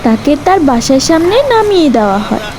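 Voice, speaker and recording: A woman's voice: high voice, big pitch swings, a little fast, background noise. A woman reading scripted narration for a cartoon story as its storyteller.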